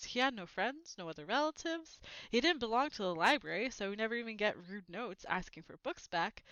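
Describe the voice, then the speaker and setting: A woman's voice: a little high, sharp tone, no room sound, highs cut off. A woman reading a storybook aloud as narrator, expressively.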